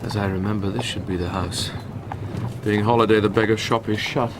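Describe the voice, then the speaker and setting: An actor with a British accent playing an impassioned, grief-stricken young nobleman in a classical Shakespearean radio drama, delivering verse theatrically and deliberately. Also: on the low side, fairly even intonation, background noise, lightly acted. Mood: brooding, desperate.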